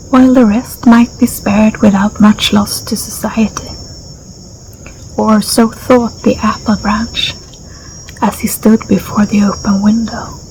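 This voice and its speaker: A woman with a Swedish accent, a native Swedish speaker, reading a story as soft-spoken ASMR in a gentle, breathy voice that often drops to a whisper. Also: medium pitch, rough recording. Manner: gentle, unhurried, soothing. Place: outdoors in a garden. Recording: clear outdoor ambience with insect noise. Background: crickets and insects, garden ambience.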